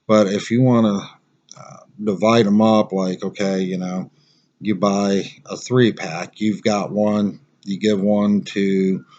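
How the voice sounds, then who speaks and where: A man giving a casual product review in a plain-spoken, halting, conversational way. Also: fairly low pitch, flat delivery, a little mellow, dull audio.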